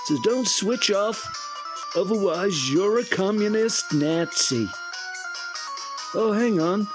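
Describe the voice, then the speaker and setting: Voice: mid-range voice, lively intonation, slow pace. An actor voicing a bombastic, self-promoting announcer in an absurd comedy radio promo. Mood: brash, boastful. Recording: music bed behind the voice.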